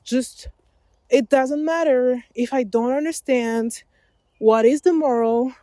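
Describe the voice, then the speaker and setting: French lady rambling on her podcast while walking a forest path, kinda high voice, takes her time, dry close sound. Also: slow pace, dark tone, dry recording. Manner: rambling, self-correcting, laughs often. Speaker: podcast host.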